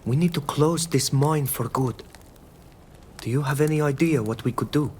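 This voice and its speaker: A man's voice: on the high side, moderate pace, a little mellow, a little hiss or hum, natural voice. A man playing a resolute, curious young islander villager in a video game, speaking plainly and earnestly. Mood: determined. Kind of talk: video game dialogue acting. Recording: music playing under the voice.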